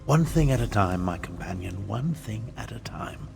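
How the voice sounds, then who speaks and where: An actor playing a wry, fastidious and theatrical prince and mage in a fantasy video game, articulate and self-assured. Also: male voice, on the low side, expressive, vocal fry, music in the background. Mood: weary.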